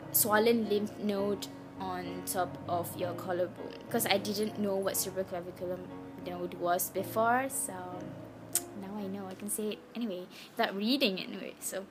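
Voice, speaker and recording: A young woman of nineteen with a Malaysian English accent, her first language Malay, chats casually to the camera. She speaks in a mid-range voice, pausing and restarting often, with clear music playing behind her. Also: medium pitch. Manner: hesitant, chatty, apologetic, with many restarts. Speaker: vlogger sharing her cancer story. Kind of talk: casual personal vlog. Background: background music.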